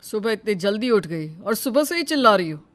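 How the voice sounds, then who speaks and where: A woman voicing a mild young husband who reasons and placates softly in a dramatized story. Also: mid-range voice, big pitch swings, brisk, lightly acted. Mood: reproachful. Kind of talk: dramatized Hindi moral story.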